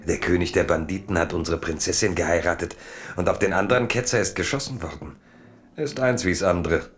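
A man narrating a literary radio play as a theatrical, measured storyteller. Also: low voice.

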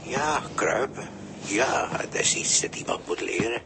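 A man's voice: medium pitch, measured pace, lightly acted. A man acting a cryptic, mocking sailor who preaches philosophy, in a 1960s radio drama. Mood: taunting, preaching. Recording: noisy 1960s radio recording.

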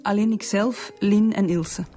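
A woman's voice: medium pitch, steady tone, a little slow, dark tone, natural voice. A woman with a Flemish accent playing a distressed, insistent middle-aged murder suspect in a radio drama. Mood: defensive, indignant. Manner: emotional, insistent. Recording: music behind the voice.

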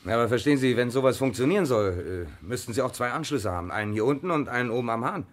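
An actor playing an outwardly helpful but evasive suspect in a 1960s radio crime drama, talking in a hesitant, folksy way. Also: male voice, mid-range voice, animated, fast, slight background noise, close to an everyday voice. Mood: skeptical.

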